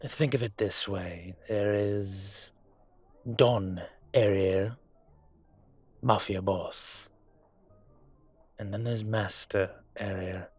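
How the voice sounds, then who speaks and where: A man playing a suave, teasing dragon mafia boss in an intimate roleplay audio, speaking deliberately with a husky voice. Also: on the low side, fairly even intonation, faint music behind, exaggerated voice. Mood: candid, measured. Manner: smooth-talking, deliberate, teasing.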